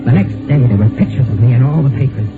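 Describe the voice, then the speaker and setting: An anxious male fugitive in a vintage radio mystery, played by an actor, with a nervous edge to his middle-pitched voice. The recording is tinny and narrow, with music clearly behind it. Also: fast, close to an everyday voice. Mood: tense, brooding. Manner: nervous, stammering in dialogue. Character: anxious male fugitive posing as a dead man. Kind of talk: old-time radio drama, first-person mystery narration and dialogue.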